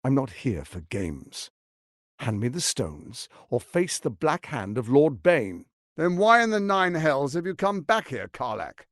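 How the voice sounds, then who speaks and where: An actor playing a scheming, arrogant middle-aged tyrant lord in a video game, with a pompous, silky, menacing delivery. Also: male voice, mid-range voice, sing-song, a little slow, no echo, studio quality. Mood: cold, threatening. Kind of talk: theatrical video game voice acting.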